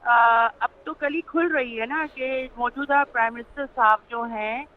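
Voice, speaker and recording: Through a narrow, tinny phone connection comes a high-pitched Pakistani woman. She speaks as a political panel guest, making her argument haltingly and leaning on repeated filler phrases. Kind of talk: political argument on a talk show.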